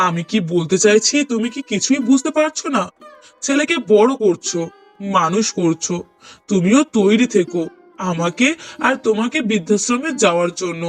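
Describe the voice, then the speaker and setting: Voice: mid-range voice, expressive, normal speed, soft background music, natural voice. A performer voicing a brooding, sarcastic husband and father in a moral-story cartoon, with pointed rhetorical questioning. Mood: reproachful.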